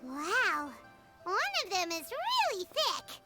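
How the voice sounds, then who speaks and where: Very high, squeaky little sprite girl. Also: lively intonation, slow pace, heavily acted. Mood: amazed. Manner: bubbly, eager, squeaky. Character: tiny, cheerful, childlike female companion sprite. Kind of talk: scripted cartoonish game voice acting. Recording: loud tinkling music behind the voice.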